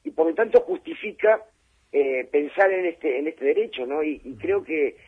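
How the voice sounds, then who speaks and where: A woman's voice: sits low, expressive, normal speed, breathy edge. A woman, speaking as a phone guest on live radio, thoughtful and hesitant with fillers and restarts. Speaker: phone guest, head of a gender commission. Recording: tinny phone line that drops out.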